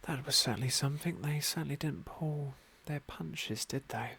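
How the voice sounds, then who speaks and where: A man softly narrating a fantasy game as an ASMR roleplay, unhurried and with formal, genteel phrasing. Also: fairly high pitch, gravelly, a little hiss or hum. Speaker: host of an ASMR game playthrough.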